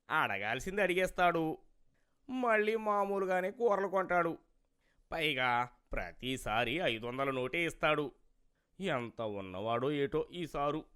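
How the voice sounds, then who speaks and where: A man's voice: mid-range voice, bright, forceful tone, clear, resonant tone. A man voicing a greedy, wheedling village vegetable seller in a cartoon moral story, with a plaintive manner. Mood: smug. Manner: rustic, colloquial, plaintive way of talking.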